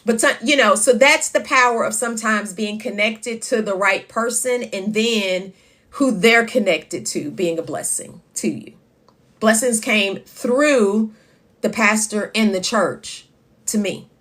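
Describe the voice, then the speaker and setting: A woman, hosting a casual live chat with a loud voice that carries and reading viewer comments aloud. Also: medium pitch, unhurried. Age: 50s. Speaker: livestream host.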